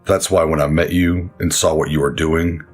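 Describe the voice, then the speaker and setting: An actor playing a somber, guilt-ridden older brother and military veteran in a gritty crime audio drama. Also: male voice, a bass voice, steady tone, moderate pace, slightly rough, soft background music. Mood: fond, mournful.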